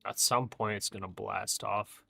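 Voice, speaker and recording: Monotone young man chatting on a trading stream. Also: lowish voice, measured pace, creaky, husky, no echo, studio quality. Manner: says his own voice is monotone; relaxed, chatty, talks to viewers as bro and dude. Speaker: livestream trading host. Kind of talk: casual live-stream chat reading viewer comments.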